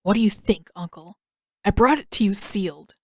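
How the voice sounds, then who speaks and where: A woman's voice: mid-range voice, normal speed, no echo, clean studio sound, lightly acted. A woman voicing a proud, hot-tempered teenage prince in an audio story, curt and defensive. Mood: sullen, impatient.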